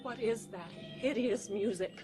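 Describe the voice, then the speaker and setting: A woman's voice: fairly high pitch, a little slow, lightly acted. An actress playing a languid, disdainful young noblewoman in a gothic radio drama, theatrical and imperious. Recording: radio drama with music behind.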